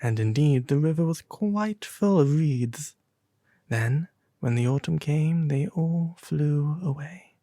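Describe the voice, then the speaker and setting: A pompous, refined demon prince acted out by a man speaks at a very unhurried, drawn-out pace. The tone is mellow and soft, turning breathy at times, in a close, dry ASMR storybook roleplay. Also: fairly high pitch, no echo. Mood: gentle, storytelling.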